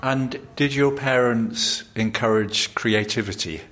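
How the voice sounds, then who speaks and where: A man hosting a podcast, reading a polished script and then interviewing a guest in a measured way. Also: medium pitch, fairly bright tone. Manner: measured, polished presenter delivery. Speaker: podcast host.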